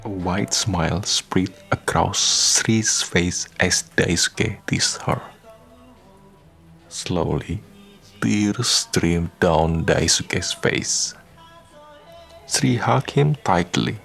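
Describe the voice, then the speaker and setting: Radio drama narrator, male: low, creaky voice, measured scripted storytelling over faint music. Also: a bit bright.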